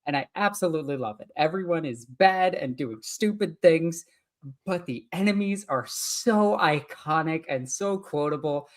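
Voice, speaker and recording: Low-voiced woman with an Icelandic accent. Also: lively intonation. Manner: enthusiastic fan gushing, quick to laugh. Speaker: podcast co-host. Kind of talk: casual chat.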